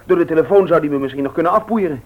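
A man's voice: a little high, racing, natural voice. An actor in a Dutch radio play, voicing an eager young man who hesitates and repeats his words. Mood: hesitant, scheming. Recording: dull, lo-fi old radio recording.

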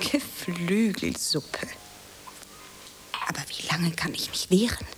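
A woman's voice: on the low side, takes it slowly, in a whisper. A woman playing a dreamy, self-dramatizing young aspiring actress in a radio play, theatrical and whimsical in her delivery. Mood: musing. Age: young adult.

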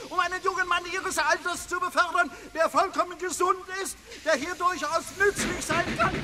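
Shrill, high-pitched indignant railway official. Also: male voice. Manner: ranting, piling up sarcastic reproaches. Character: indignant, hectoring railway official scolding a traveller. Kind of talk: German radio play acting.

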